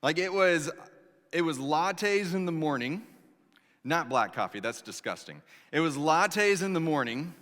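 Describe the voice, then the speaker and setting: A man in his thirties preaching a sermon as pastor, telling a personal story in an animated, conversational way with acted asides. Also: fairly high pitch, long reverb tail. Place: church sanctuary.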